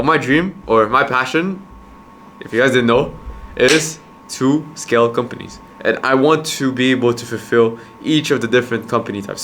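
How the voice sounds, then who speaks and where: A man's voice: mid-range voice, fairly bright tone, a little hiss or hum. A young man from Quebec whose first language is Khmer, talking to camera in a casual, reflective monologue, restarting and repeating words as he thinks. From Quebec, Canada. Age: young adult. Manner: halting, many restarts and repeated words, earnest. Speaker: vlogger, entrepreneur.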